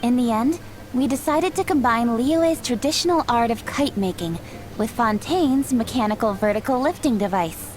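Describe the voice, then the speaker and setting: A woman as a poised, higher-voiced city official. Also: expressive, slight background noise, natural voice. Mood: explaining. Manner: measured, articulate, formal. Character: poised, composed, courteous female city official. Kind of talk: anime game voice acting.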